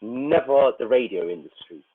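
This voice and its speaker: A man speaking haltingly as a guest in a panel conversation, reflecting personally and often repeating himself as he searches for words. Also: medium pitch, expressive, slightly rough. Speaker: guest, prison radio producer. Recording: roomy, phone-like narrowband call.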